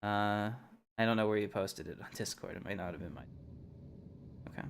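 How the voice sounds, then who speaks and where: A man hosting a livestream, casually thinking aloud as he plays, in a halting, unhurried, musing way. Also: on the low side, background noise. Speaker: livestream host. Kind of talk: casual live game commentary, thinking aloud.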